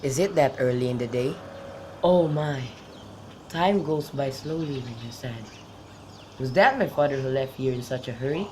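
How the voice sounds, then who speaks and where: A man's voice: mid-range voice, moderate pace, close to an everyday voice. A young man playing a lovesick, brooding youth in an amateur radio drama of modern-English Shakespeare, with birdsong behind him. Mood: gloomy, lovesick. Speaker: student actor. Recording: faint background noise with birdsong.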